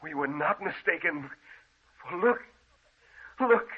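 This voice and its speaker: A man's voice: a little high, lively intonation, slow, husky, exaggerated voice. A male actor playing a distraught, obsessed husband in an impassioned, melodramatic 1940s radio horror style. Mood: bewildered, insistent. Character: distraught obsessed husband chasing his dead wife. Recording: noisy, dull old radio recording.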